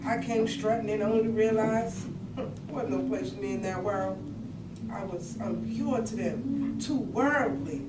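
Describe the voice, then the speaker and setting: A woman's voice: fairly high pitch, natural voice. A woman acting a wistful, disillusioned idealist activist in an earnest, emotional staged monologue. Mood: idealistic. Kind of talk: staged reading of dramatic monologue. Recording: distant, echoey, very noisy room.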